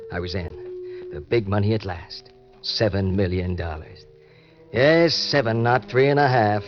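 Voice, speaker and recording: An actor playing a greedy, scheming young impostor who confides like a hard-boiled narrator in an old-time radio crime drama. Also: male voice, lowish voice, lightly acted. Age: young adult. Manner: colloquial, confiding tough-guy storytelling. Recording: muffled vintage radio recording with music.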